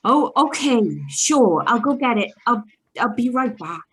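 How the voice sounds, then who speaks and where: A woman acts an auction house helper in a radio play, pitched high. The character is brisk and evasive, placating customers with a hustler's patter. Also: animated, a bit bright. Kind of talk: radio drama script reading. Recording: live video-call recording.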